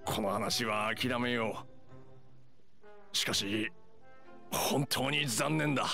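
Village hunter, anime game: gravelly, slow, serious and resigned. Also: male voice, medium pitch, pushed and bright, soft background music, exaggerated voice. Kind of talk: anime-style game acting.

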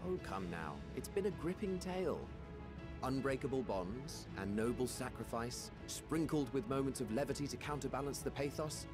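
An urbane gentleman spirit from a fantasy game, played by a man, genial and playful. He speaks eloquently at a medium pitch, with music clearly behind him. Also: lively intonation, normal speed, natural voice. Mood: amused, playful. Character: genial urbane robed shade, amused theatre-lover. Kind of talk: dramatic video game cutscene acting.

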